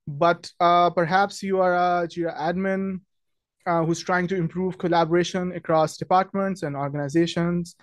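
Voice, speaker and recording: Young man from Pakistan, friendly webinar host, slightly higher voice, dry close sound, many fillers. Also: fairly even intonation, moderate pace, no room sound. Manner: friendly, chatty, many ums and restarts. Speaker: webinar host, product marketing manager. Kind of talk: casual webinar presentation.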